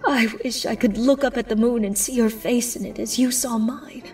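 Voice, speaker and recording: A woman's voice: a little high, steady tone, moderate pace, a little mellow, close to an everyday voice. Woman doing sad regal pony princess, formal n whispery, audio drama, sounds far from mic w music under. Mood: tearful longing. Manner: formal, breathy, often dropping to a whisper. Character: grieving regal mare princess of the night, remorseful. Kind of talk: fan audio drama acting.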